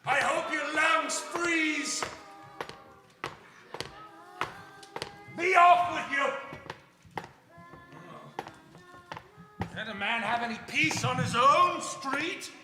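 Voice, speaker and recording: A man's voice: extremely high pitch, a little slow, over music. An actor in live radio theater playing a bitter, miserly old businessman, scornful and grumbling. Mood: irritated. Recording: echoey theater hall, live performance.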